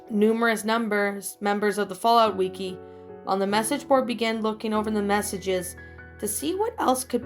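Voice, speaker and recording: A woman narrating a scripted horror story, reading aloud and now and then stumbling over the words. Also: mid-range voice.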